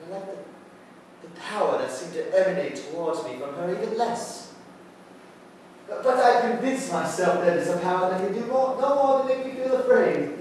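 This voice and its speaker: A man in a period stage play, acting an earnest, stubborn young solicitor with formal, emphatic, halting speech. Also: high-pitched, a little mellow. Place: indoor theatre stage, mic far off. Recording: very echoey theatre, distant mic, faint noise.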